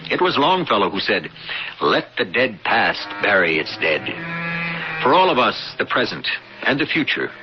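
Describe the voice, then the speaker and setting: Animated low-voiced male radio narrator. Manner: measured, theatrical, sententious. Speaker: host and narrator. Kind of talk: dramatic scripted radio narration. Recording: muffled old radio broadcast. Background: loud-ish music.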